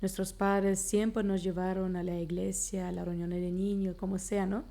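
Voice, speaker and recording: A woman in her thirties from Germany speaks as a missionary guest on a radio interview. Her tone is mellow with a gravelly edge and a mid pitch. She answers in a slightly halting way, often closing her phrases with a tag question. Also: level tone. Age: 30s. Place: radio studio.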